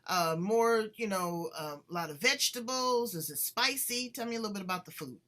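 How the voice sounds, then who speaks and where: A woman from the United States hosting an interview show, chatting in an animated, playful way. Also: medium pitch, fairly quick. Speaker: podcast host. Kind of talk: casual interview chat.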